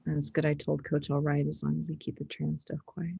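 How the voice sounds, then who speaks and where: A woman plays a teenage snowboarder girl in a film script. The voice is low and creaky, and she comes across as guarded and anxious, with a wry streak. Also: completely flat delivery, brisk, mellow, exaggerated voice. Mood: wry, friendly. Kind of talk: screenplay table read.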